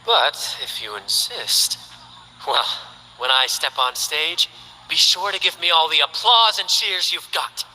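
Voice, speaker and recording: A man's voice: fairly high pitch, unhurried, bright, forceful tone, big-room sound, heavily acted, low-quality recording. A man voicing a flamboyant, carefree young performer who talks in a grand, theatrical way. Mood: showy. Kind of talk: game character voice lines.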